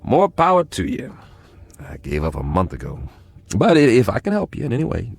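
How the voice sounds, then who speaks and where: A gravelly, wry code breaker. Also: male voice, medium pitch, wildly swooping pitch, fairly quick, faint music behind, heavily acted. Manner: dry, wry, conversational. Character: wry, world-weary male code breaker. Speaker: former code breaker, narrator of the story. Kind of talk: radio drama acting and narration.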